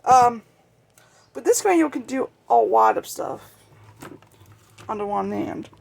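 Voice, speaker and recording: Someone casually showing off a collection in a home-made vlog, talking in a halting, rambling way. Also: medium pitch, animated, gravelly. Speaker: video creator showing a radio collection. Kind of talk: casual show-and-tell vlog.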